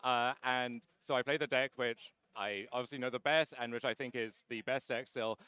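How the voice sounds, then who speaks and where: A man's voice: mid-range voice, steady tone, normal speed, sharp tone, smooth, no echo. A man being interviewed after winning a card tournament, chatty and wry, with lots of "uh" fillers. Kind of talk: casual post-match interview.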